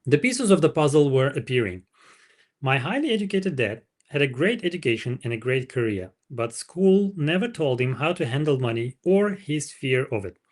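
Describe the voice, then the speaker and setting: Male book reader for a livestream, medium pitch, narration with informal asides. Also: big pitch swings, moderate pace. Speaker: livestream host reading a book aloud.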